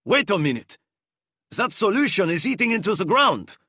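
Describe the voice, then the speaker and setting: A man voicing a demanding, suspicious male guard in a high, loud, strained tone. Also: sing-song, moderate pace, dry recording, studio quality, exaggerated voice.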